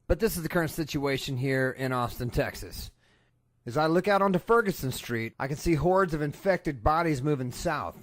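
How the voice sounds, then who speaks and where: A man playing an earnest radio newsman in an audio drama, solemn and measured as he broadcasts from a disaster. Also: on the high side, no echo, lightly acted. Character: earnest male radio newsman reporting an apocalypse. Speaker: radio news broadcaster and host.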